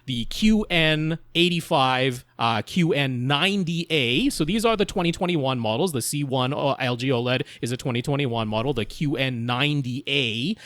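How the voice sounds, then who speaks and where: A man's voice: mid-range voice, normal speed, hardly any pauses, a bit bright, no echo. A man co-hosting a podcast, giving casual tech advice with detailed, methodical explanations. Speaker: podcast co-host.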